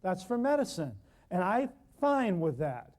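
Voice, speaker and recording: Loud, emphatic man with a high voice. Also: big pitch swings, a little slow. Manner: loud, emphatic, folksy, repeating lists of words. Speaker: natural healing teacher. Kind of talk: workshop lecture to a live class.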